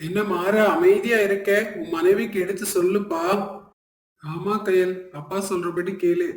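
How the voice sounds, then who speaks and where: Man acting as stern cartoon shopkeeper, high male voice, earnest, roomy echo. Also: fairly even intonation, a little slow. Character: men of a traditional family shop, stern, worried. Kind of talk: cartoon moral story acting.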